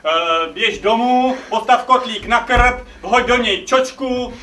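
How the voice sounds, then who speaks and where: A man's voice: very high voice, pushed and bright. A man playing a clever, cheeky male slave in a comic stage play, pleading with mock servility and impudence. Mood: impudent pleading. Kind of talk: live comic stage acting. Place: theatre stage, recorded from far off. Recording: distant echoey stage recording, noisy.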